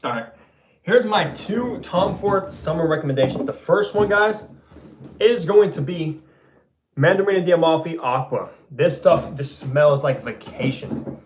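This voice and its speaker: Young guy chatting on a livestream at home, kinda hoarse, echoey room, bit of noise behind. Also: a little high, animated, a little slow. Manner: laid-back, loose, says bro and man a lot. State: voice going hoarse. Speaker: fragrance livestream host. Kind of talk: casual livestream chat reading viewer comments.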